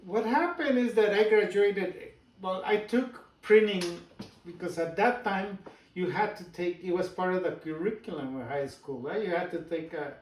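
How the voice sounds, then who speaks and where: A man in his sixties from Guatemala with a Spanish accent, telling his story haltingly in a casual interview. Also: sits high, echoey room, distant mic, poor audio. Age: 60s. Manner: halting, personal storytelling with pauses and restarts. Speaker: business owner guest.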